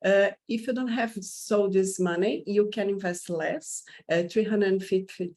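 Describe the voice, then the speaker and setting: A woman's voice: mid-range voice, steady tone, a little slow, dark tone. A woman with a Portuguese accent and Portuguese as her first language, explaining investment options hesitantly with many fillers. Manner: hesitant, many uh fillers, non-native phrasing. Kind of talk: informational talk.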